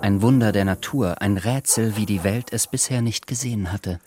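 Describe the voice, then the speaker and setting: Male narrator for radio drama: lowish pitch, scripted literary storytelling, clear music bed beneath. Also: moderate pace, warm tone.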